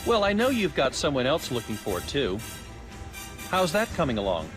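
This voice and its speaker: A man's voice: fairly high pitch, huge pitch swings, normal speed. A man playing a sly, inquisitive scientist in a video game, with a wry, needling, theatrical way of talking. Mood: teasing, probing. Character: sly, inquisitive male scientist, teasing and knowing. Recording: background music under the voice.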